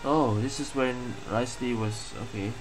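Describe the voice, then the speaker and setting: A woman's voice: rumbling low, steady tone, measured pace, rough recording. A woman giving casual gameplay commentary, murmuring reactions and reading bits of the game aloud. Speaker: gaming streamer. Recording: game music behind the voice.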